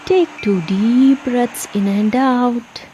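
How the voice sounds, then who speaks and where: A woman leading a guided relaxation meditation, giving soothing, gentle instructions with long pauses between phrases. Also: on the high side, slight background noise, low-quality recording. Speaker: yoga meditation guide and channel host. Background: soft instrumental music.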